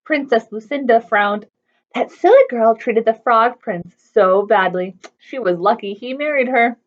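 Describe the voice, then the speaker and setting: A woman's voice: a little high, measured pace, highs cut off. A woman hosting a children's storytime, reading aloud expressively and chattily putting questions to young listeners. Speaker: children's library storytime host.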